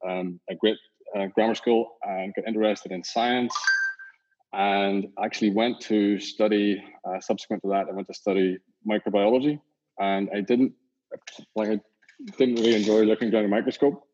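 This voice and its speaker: Northern Irish man, low, lo-fi. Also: normal speed, slight background noise. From Northern Ireland. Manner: relaxed, rambling, many fillers and restarts. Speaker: company founder and CEO, guest. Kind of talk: casual interview talk. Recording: dull lo-fi audio.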